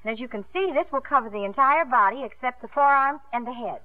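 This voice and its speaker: A woman's voice: fairly high pitch, quick pace, lightly acted. An actress playing an earnest, ambitious young woman swimmer in a 1950s radio drama. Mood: earnest, polite. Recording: muffled old 1950s radio broadcast.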